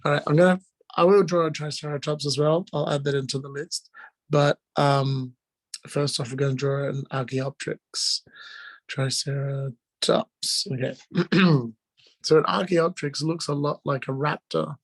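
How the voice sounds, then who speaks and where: A man with a hoarse, strained voice from being sick, informally explaining drawing step by step as a teacher on a live video call. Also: on the high side, steady tone, measured pace. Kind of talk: casual live online drawing lesson, talking while drawing.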